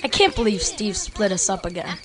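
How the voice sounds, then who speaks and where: A woman's voice: medium pitch, animated, brisk, a bit bright, lightly acted. A woman playing a nervous young boy in an audio story, hesitant and casual. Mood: brushing it off. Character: nervous, reluctant young boy, best friend.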